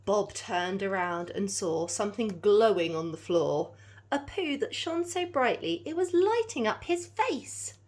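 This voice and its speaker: A woman reads a children's storybook aloud in the manner of a teacher. Her delivery is very expressive, with pitch that moves widely from phrase to phrase. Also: measured pace.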